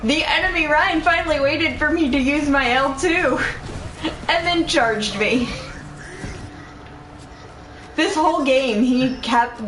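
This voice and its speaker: A woman's voice: sits high, takes it slowly, long pauses, fairly bright tone, faint music behind. A woman chatting casually and informally as she plays a video game with a teammate. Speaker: co-player on a game stream. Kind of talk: casual gameplay chat. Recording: echoey room with faint background noise.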